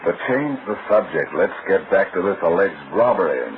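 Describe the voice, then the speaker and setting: A man's voice: low voice, expressive, moderate pace. An actor playing a brusque, commanding lawman in an old-time radio detective drama, with a formal, dry, authoritative way of talking. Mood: formal, firm. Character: brusque, commanding male lawman investigating a robbery. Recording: noisy, tinny old radio recording.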